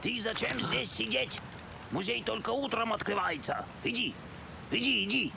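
A man's voice: high voice, moderate pace, creaky, background noise. A man voicing a kindly, eccentric cartoon penguin inventor who speaks Russian with a foreign accent and broken grammar, explaining things emphatically. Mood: gruff concern. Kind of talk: animated film character acting. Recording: echoey hall with music behind.

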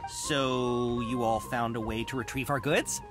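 An actor voicing a friendly, accommodating caravan merchant with a hopeful manner. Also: male voice, medium pitch, fairly even intonation, unhurried, over loud music, natural voice.

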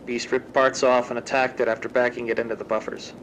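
A man's voice: medium pitch, flat delivery, quick pace, a bit bright, a little hiss or hum, close to an everyday voice, poor audio. A man playing a researcher who logs grim experiments in a terse, clinical way for a horror audio drama. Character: male researcher coolly logging grim monster experiments. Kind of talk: scripted audio log narration in horror fan drama.

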